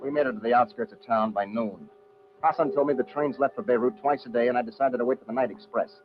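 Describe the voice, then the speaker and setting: An actor playing a brash, wisecracking American adventurer, slangy and clipped, in an old-time radio drama. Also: male voice, medium pitch, fast, music in the background, close to an everyday voice. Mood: measured recounting. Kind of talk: old-time radio adventure acting with first-person narration. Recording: dull, hissy old radio recording.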